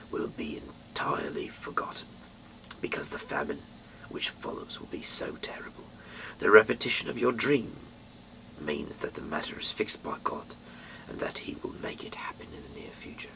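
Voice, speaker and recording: A man from the UK reading scripture aloud in a dramatic, halting way, swinging between emphatic bursts and breathy, hushed delivery. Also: low voice, poor audio. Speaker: Bible reader, amateur narrator.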